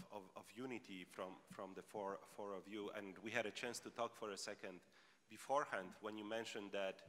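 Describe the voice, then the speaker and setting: A man moderating a panel, speaking in a halting, wordy way with repeated words. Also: lowish voice, sharp tone. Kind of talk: panel moderation before an audience. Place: large conference hall. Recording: echoey hall with long reverb.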